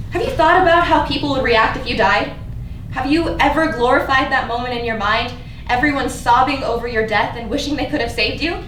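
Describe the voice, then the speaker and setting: A woman's voice: sits high, fairly bright tone. A woman playing a lonely, guarded, bitterly blunt character who confesses despair in a staged play reading. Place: theatre staged reading, recorded from a distance. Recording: echoey room, distant mic, background noise.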